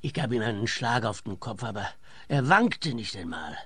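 An actor playing a lovesick, easily outraged suitor in a comic radio play, whiny and stammering with pedantic indignation. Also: male voice, fairly low pitch, big pitch swings, normal speed, fairly bright tone, slightly rough, no room sound, heavily acted. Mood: defiant, smug.

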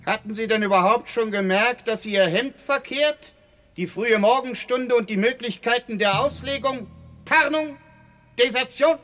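An actor playing a curt, sardonic army captain who interrogates with clipped questions in a radio drama. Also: male voice, very high voice, moderate pace, a bit bright. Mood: impatient, stern. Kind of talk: German radio drama acting.

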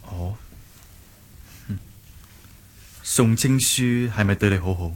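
Casting: young wuxia hero, earnest, defensive, husky low register, Hong Kong Cantonese, radio drama. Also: male voice, slow pace, a little mellow. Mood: jealous, sulky. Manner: earnest, emphatic pleading. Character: earnest young martial-arts hero, devoted but defensive fiancé.

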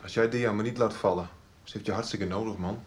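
An actor playing a calm, easygoing, reassuring man in a 1990s radio soap. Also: lowish voice, a little fast, close to an everyday voice. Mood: calm, coaxing.

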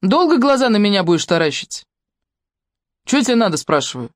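A woman's voice: medium pitch, very animated, a little fast, no echo, clean studio sound, voice pushed up, natural voice. An audiobook narrator voicing a coarse, vicious middle-aged woman who mocks and screams in rage. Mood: contemptuous. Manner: mocking, shouting in rage.

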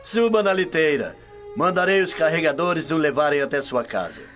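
A man's voice: a little high, expressive, moderate pace, a bit bright, soft background music. An actor with a Brazilian accent playing a jovial, teasing, wealthy Roman womanizer in a radio drama. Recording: dull, muffled studio track.

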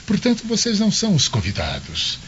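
Suave Roman governor with swooping pitch, Brazilian. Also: male voice, wildly swooping pitch, fairly quick, a bit bright, heavily acted. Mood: insistent. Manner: florid, ceremonious, theatrical. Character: suave, insistent Roman governor, grandly hospitable. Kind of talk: dramatised Brazilian radio drama acting. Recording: dull, noisy lo-fi radio drama.

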